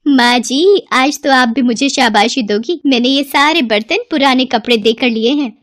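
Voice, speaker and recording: A woman voicing a foolish, eager young housewife in an excitable, chirpy way for a cartoon moral story. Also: sits high, moderate pace, dry recording, studio quality. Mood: excited, proud.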